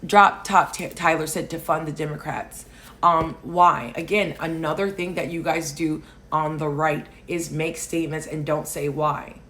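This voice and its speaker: A woman from Alaska, raised in Hawaii, hosting political commentary, talking loudly and emphatically and answering viewer comments. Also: sits low, fairly even intonation, a little slow. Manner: loud, emphatic, rising to near shouting on key points.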